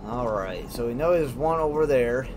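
A man's voice: animated, moderate pace. Man muttering game commentary over noisy ambience. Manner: informal, muttering to himself in short phrases. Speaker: gamer, let's play host. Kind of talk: casual gameplay commentary, thinking aloud. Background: horror game ambience and machinery rumble.

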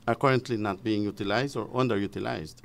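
A man giving formal, measured, halting explanations as an expert guest on radio. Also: on the low side, creaky. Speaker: fisheries officer, studio guest expert. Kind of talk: explanatory interview answers. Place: radio studio.

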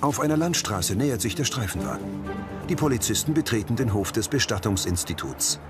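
A man's voice: medium pitch, animated, brisk, over music. A man narrating scenes in a scripted, present-tense audio description.

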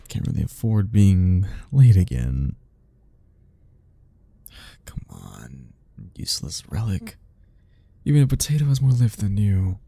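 Man acting anxious, wry guy, very mellow voice. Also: on the low side, creaky, slightly rough, full character voice. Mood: weary, wry. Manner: sighing, wry, rambling, chuckling. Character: anxious, sarcastic young man stuck in an elevator, self-deprecating. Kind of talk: romantic ASMR audio roleplay, addressing the listener.

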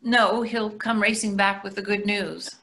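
A woman's voice: medium pitch, steady tone, unhurried, fairly bright tone. A woman in her sixties playing a placating, dryly chiding wife in a readers theatre play. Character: placating, gently needling middle-aged wife. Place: plain room indoors on a video call.

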